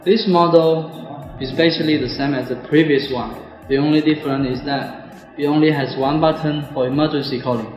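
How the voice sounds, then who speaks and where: A young man presents a product demonstration in a scripted style. His voice sits a little high. The room is strongly reverberant, and music plays behind him. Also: fairly even intonation, moderate pace, a little mellow. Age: 20s. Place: bare indoor office or classroom.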